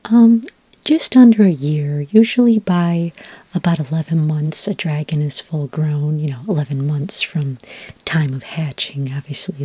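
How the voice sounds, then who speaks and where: A woman playing a patient, helpful dragonmaster in a soft-spoken ASMR roleplay, whispering breathily and explaining gently and hesitantly up close to the microphone. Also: sits low, slightly rough, no room sound. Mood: patient, informative. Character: patient, helpful female dragonmaster giving business advice. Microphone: close microphone in a dry space.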